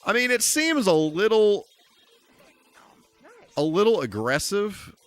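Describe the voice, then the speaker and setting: Animated man live-streaming a video game. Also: high voice, takes it slowly, no echo. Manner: mild folksy exclamations, mock-exasperated asides. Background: music.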